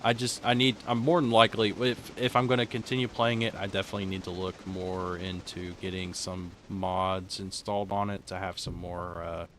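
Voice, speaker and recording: Very deep-voiced man rambling casually. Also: little pitch movement, moderate pace, few pauses, fairly bright tone, slight background noise. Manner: rambling, restarts sentences. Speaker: streamer host. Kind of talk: casual chat while gaming.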